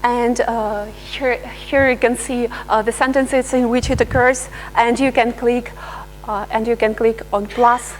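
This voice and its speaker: A woman researcher giving a live software demonstration, pausing often with fillers and restarting her sentences. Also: a little high, fairly even intonation, slight background noise, low-quality recording. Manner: hesitant, many filler sounds and restarts. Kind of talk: live software demo talk.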